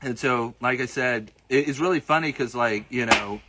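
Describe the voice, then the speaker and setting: A man's voice: medium pitch, normal speed, pushed and bright. A man with a light Southern accent hosting a livestream and answering viewer comments in a casual, hesitant way, with lots of fillers and restarts. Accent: Southern US, partly masked. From Southern United States. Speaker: host of a livestream.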